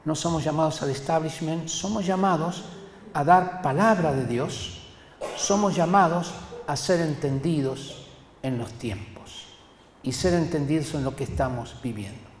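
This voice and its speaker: An older man from Argentina, preaching a sermon in an emphatic, deliberate manner and addressing his listeners directly. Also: on the high side, slight background noise. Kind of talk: sermon to a congregation. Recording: echoey hall with long reverb.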